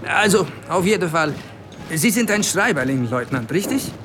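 Radio play role: brusque Italian-American company commander, mocking, animated delivery over clear background noise. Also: male voice, high voice, normal speed. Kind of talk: radio drama acting. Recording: outdoor war ambience with vehicle noise.

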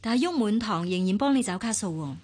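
A woman with a Hong Kong Cantonese accent acting a caring, perceptive family confidante in a radio drama, probing and gently admonishing. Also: mid-range voice, lively intonation, quick pace, lightly acted.

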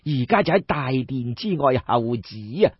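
A man voicing a respectful, smooth-tongued courtier of ancient China in an audiobook, measured and earnest. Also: medium pitch, lively intonation, voice pushed down. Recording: dull, roomy audiobook recording.

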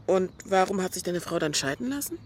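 A woman's voice: lowish voice, big pitch swings, a little fast, slight background noise. An actress playing a caring, frank young woman in a radio drama, coaxing and matter-of-fact with her friend. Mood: calm, probing.

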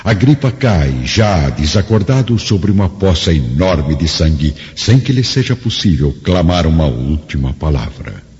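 A man's voice: sits low, sing-song, unhurried, hardly any pauses, a little mellow. A man with a Brazilian accent giving solemn, theatrical scripted narration for a radio drama. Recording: muffled, reverberant studio with music.

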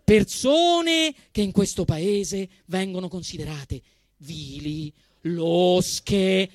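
A man with a Roman accent performing a satirical monologue on stage, emphatic and swinging between deadpan restraint and outrage. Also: extremely high pitch, dry recording, clean studio sound. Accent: Roman Italian. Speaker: actor performing a comic monologue. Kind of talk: theatrical satirical monologue to a live audience.

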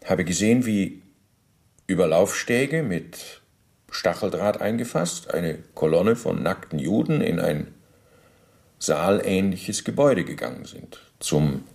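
An actor playing an evasive middle-aged male war criminal under interrogation in a radio play, halting and self-justifying, speaking in officialese. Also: low voice, lively intonation, creaky, darkish tone, heavily acted. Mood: halting, uneasy.

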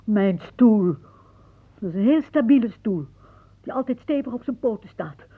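A woman's voice: fairly high pitch, lively intonation, normal speed, breathy edge. An actress playing an anxious, indignant older lady with a prim, questioning manner in a radio play. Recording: muffled, narrow old radio recording.

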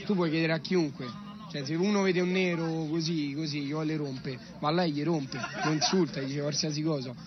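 A man's voice: fairly high pitch, steady tone, moderate pace. A young man answering casually in a street interview, blunt and colloquial, on a dull lo-fi recording. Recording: dull lo-fi clip with crowd noise.

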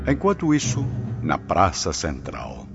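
A man's voice: very deep voice, huge pitch swings, unhurried, creaky. A man narrating a radio drama from a script in a formal, theatrical style. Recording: dull lo-fi radio drama recording. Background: music.

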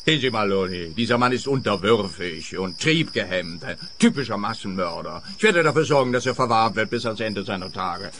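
An actor playing a pompous, officious police officer who bullies people in a radio play. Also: male voice, fairly low pitch, lively intonation, fairly quick, few pauses. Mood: smug. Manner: pompous, barking orders.

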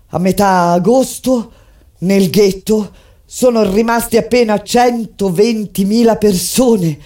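An actress playing a grave witness of a wartime tragedy, narrating in a deliberate, solemn theatrical style with dramatic pauses. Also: female voice, lowish voice, dark tone. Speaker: stage actress. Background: music.

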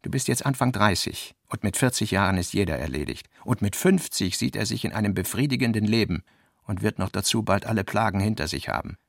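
A man's voice: lowish voice, animated, fairly quick, gravelly, no room sound, studio quality. A man reading the part of a gloomy, disillusioned, sinewy man who speaks bluntly and bitterly in a radio play.

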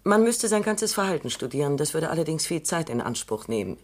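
A woman's voice: sits low, big pitch swings, fairly quick. A cool, precise lady scientist. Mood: lecturing. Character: cool, precise female robot psychologist. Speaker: robot psychologist Dr. Calvin. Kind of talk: radio drama acting.

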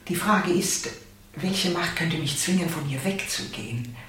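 A woman's voice: lowish voice, measured pace, a bit bright. An actress playing a dignified, reserved elderly captive lady in a German radio drama. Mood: composed, firm. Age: older. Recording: echoey room, distant, faint noise.

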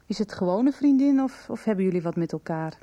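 A lonely schoolteacher in failing health, played by a woman for a radio drama. The voice is soft-edged and mellow at a middle pitch. The manner is intimate, forward and searching, with small hesitations. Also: animated, quick pace, natural voice. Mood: probing, intimate. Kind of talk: Dutch radio soap acting.

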